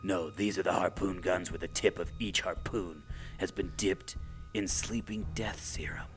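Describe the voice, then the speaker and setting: A smug treasure-hunting villain, acted out by a man, speaks in a low voice. He taunts and gloats with theatrical menace, as in an adventure radio play, over soft music. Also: steady tone, normal speed, lightly acted. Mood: gloating. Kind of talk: adventure radio drama acting.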